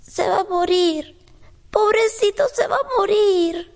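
A woman's voice: very high voice, slow pace, a little mellow, heavily acted. A woman playing an anxious, tender-hearted little girl in a radio drama, with childlike, pleading questions. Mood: sorrowful.

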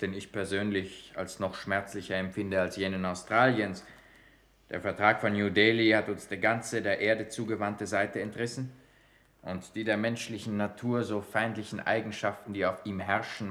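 An actor playing a government minister in a radio drama, reporting bad news with ceremonious, understated regret. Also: male voice, fairly low pitch, fairly bright tone, natural voice. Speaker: minister for extraterrestrial territories. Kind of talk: 1960s German-language radio drama acting.

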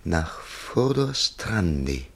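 An actor playing an inquisitive literary narrator in a German radio play, speaking in a measured storytelling manner. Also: male voice, low-pitched, sing-song, creaky, slightly rough, heavily acted. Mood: probing, quiet. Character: male literary narrator, inquisitive confidant of a young clerk.

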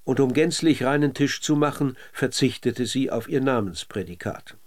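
A man with a mid-pitched voice narrates a scripted radio documentary. His delivery is measured and literary, shaped like careful reading of prose.

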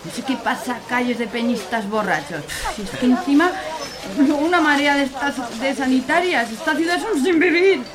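Woman acting brassy, high-voiced Aragonese cleaning lady. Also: lively intonation, normal speed, a crowd in the background. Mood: exasperated. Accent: Aragonese Spanish. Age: middle-aged. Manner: salty, colloquial, exclamatory. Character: brassy, grumbling, teasing middle-aged market cleaning woman. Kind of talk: radio serial comedy acting.

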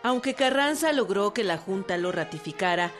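A narrator reading a scripted historical account in a measured, even way. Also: medium pitch, over music.

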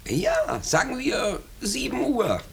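Pompous, excitable middle-class man. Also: extremely high pitch, slow pace, vocal fry, a little hiss or hum, exaggerated voice. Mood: meek, coaxing. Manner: blustering, stammering when uneasy. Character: pompous, nervous well-off householder, eager to please. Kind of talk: 1950s German radio drama acting. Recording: 1950s radio recording.

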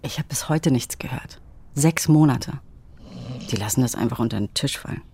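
An actress in a German radio drama playing a wry, frank young woman with a husky voice. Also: a bass voice, normal speed, dark tone, no echo, heavily acted. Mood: wry, relaxed. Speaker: actor in a radio play.

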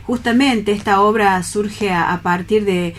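A woman with an Argentine accent talking earnestly and haltingly in a reflective radio discussion. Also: medium pitch, a little hiss or hum. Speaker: panelist on a radio theatre programme.

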